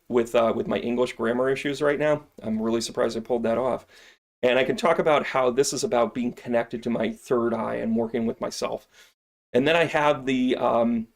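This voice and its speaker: Joking, rambling male shop host. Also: mid-range voice. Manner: joking, rambling, salesy asides. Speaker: shop owner and live-stream host. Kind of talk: casual product demo on a live stream.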